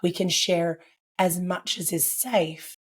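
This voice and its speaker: A woman talks as a podcast guest, her voice low and worn hoarse by laryngitis. She speaks earnestly and reflectively, circling her thoughts with casual fillers. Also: fairly even intonation, slow. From Australia. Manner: earnest, reflective, with frequent "like" and "I guess". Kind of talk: conversational podcast interview.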